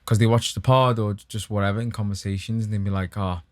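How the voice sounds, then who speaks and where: A man's voice: fairly low pitch, steady tone, fast, no room sound. A man, a podcast host in casual, laid-back chat.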